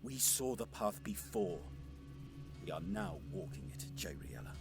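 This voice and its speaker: An actor playing an arrogant, hot-tempered alien warrior lord in a science fiction audio drama, with a haughty, theatrical manner. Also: male voice, sits low, sing-song, a little slow, gravelly, over music, heavily acted. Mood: resolute.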